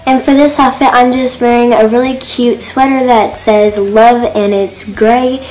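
A teenage girl talks in a bubbly, gushing way about her outfits. Her voice sits a little high. She is recorded outdoors on a phone camera propped on a mailbox, with faint music under her voice. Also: steady tone, unhurried, a little mellow, rough recording. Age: teens. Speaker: fashion vlogger. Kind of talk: casual outfit vlog. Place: outdoors in a suburban front yard. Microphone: phone camera set on a mailbox.

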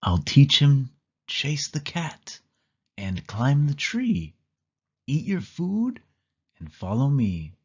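A man reading a children's picture book aloud in a slow, sing-song storytelling manner. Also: mid-range voice, sing-song, slightly rough, highs cut off. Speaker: storybook reader. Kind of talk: children's read-along narration.

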